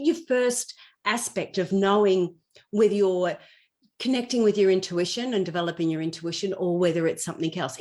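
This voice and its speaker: A woman's voice: on the low side, a little mellow. Woman explaining in conversational teaching style. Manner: explanatory, illustrates points with personal anecdotes and everyday examples. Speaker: podcast guest explaining intuition.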